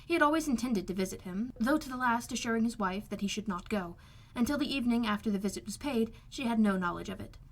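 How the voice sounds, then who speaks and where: A woman's voice: on the high side, lively intonation, quick pace, few pauses, slight background noise. A woman reading a classic novel aloud as scripted audiobook narration. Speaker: amateur audiobook reader.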